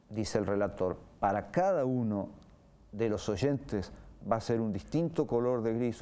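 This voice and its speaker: A man's voice: lowish voice, mellow. An Argentine man, a radio drama writer, explaining his craft in an interview with vivid examples.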